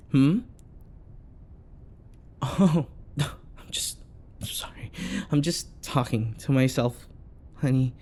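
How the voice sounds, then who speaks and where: A man acts out a possessive yandere boyfriend in an intimate ASMR roleplay. He speaks very slowly, with sighs and pauses and a frequent vocal fry, coaxing sweetly while jealousy and menace sit underneath. A faint rumble of noise runs behind the voice. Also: warm tone. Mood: sweet, faintly menacing. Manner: coaxing, passive-aggressive, full of pet names, sighs and pauses.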